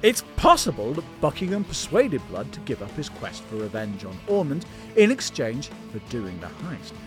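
British man, comedy podcast host, theatrical, lively pitch, faint music behind. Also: moderate pace. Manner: playful, theatrical, mock-grand delivery. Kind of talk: silly scripted comedy narration.

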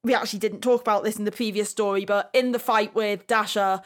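A woman's voice: mid-range voice, steady tone, quick pace. A woman hosting a podcast, talking casually through a discussion. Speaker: podcast host.

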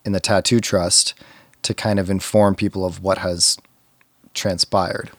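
A man player in a tabletop game podcast, chatting casually with a lively, teasing manner. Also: low voice. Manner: lively, quick to tease. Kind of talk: casual chat.

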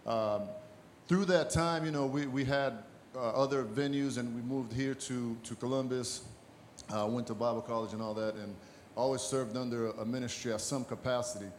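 A man's voice: medium pitch, fairly even intonation, moderate pace. Casual man, noticeable background noise. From born in Brazil, raised in the US. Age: middle-aged. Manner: casual, folksy. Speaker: guest church planter. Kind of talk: informal testimony to congregation. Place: church stage. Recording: background noise in live church.

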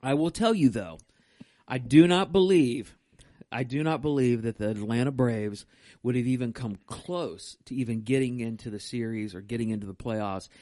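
A man's voice: medium pitch, moderate pace, mellow, no echo, clean studio sound. A man co-hosting a casual podcast, chatty and rambling as he banters with his partner. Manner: chatty, rambling, laughs easily. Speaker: podcast co-host. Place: live stream room.

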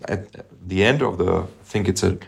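A man with a very low, gravelly voice talks over music theory in an unscripted chat. He pauses and restarts often, now and then humming a few notes to show what he means. He sounds middle-aged and thoughtful. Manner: thoughtful, halting, hums melodies to illustrate. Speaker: podcast co-host, musician. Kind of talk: casual music discussion.

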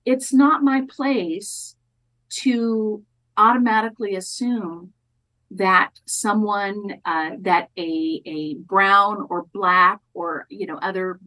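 Woman college teacher, slow measured talk, lots of little restarts. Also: mid-range voice, steady tone, sharp tone, rough recording. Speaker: community college educator, guest. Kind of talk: thoughtful podcast interview talk.

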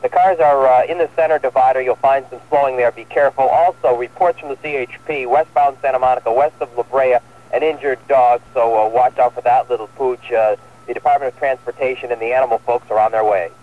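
A man's voice: medium pitch, steady tone, fast. A man giving a casual, bantering traffic report on 1970s radio. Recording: tinny phone-like 1970s radio link.

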